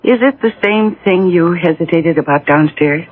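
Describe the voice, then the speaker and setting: A woman's voice: on the low side, moderate pace, breathy edge. An actress playing a sweet, wistful young woman in a 1980s radio drama, dreamy and polite. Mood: curious. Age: young adult. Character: sweet, wistful young woman revisiting her childhood home. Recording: narrow-band vintage radio broadcast.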